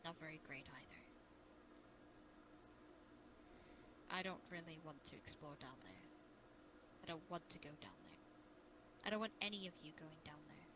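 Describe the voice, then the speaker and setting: A woman's voice: low voice, brisk, lightly acted. Woman as a cautious guild leader, noisy audio. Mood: worried. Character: caring, cautious blind guild leader wary of vampires. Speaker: role-play guild leader. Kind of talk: improvised online fantasy role-play. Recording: noisy online voice-chat audio.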